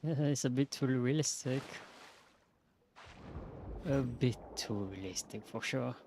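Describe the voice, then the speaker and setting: A man's voice: medium pitch, takes it slowly, noisy background. Casual man streaming a game. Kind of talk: casual gameplay commentary. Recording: game music behind the voice.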